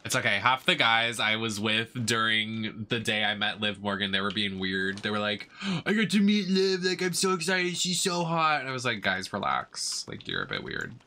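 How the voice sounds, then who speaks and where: A man's voice: fairly low pitch, big pitch swings, normal speed, bright, forceful tone. A young man chatting casually on a game livestream with a campy, dramatic delivery. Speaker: drag performer and game streamer. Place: indoor streaming setup.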